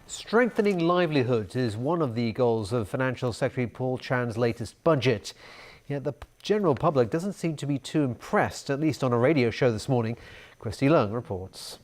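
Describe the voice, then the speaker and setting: A man's voice: mid-range voice, lively intonation, moderate pace. A man presenting scripted television news as the anchor.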